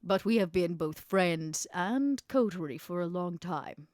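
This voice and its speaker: A woman playing a guarded, shrewd vampire proposing business in a measured, dryly intimate way for a tabletop roleplay podcast. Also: on the low side, animated, darkish tone, no echo, studio quality. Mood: wry, persuasive.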